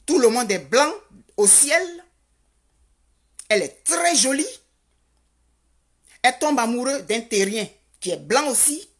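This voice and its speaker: Lady going off on a passionate rant, slow, husky, kinda high voice, keeps repeating herself. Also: fairly bright tone. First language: Godié. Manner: rhetorical questions, insistent repetition, rising to fervent. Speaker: commentator. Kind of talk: impassioned social commentary monologue. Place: Canada.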